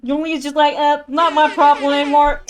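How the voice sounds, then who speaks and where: A woman's voice: high voice, little pitch movement, brisk. A woman streaming a game, giving casual, wry and playful joking commentary. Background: game music.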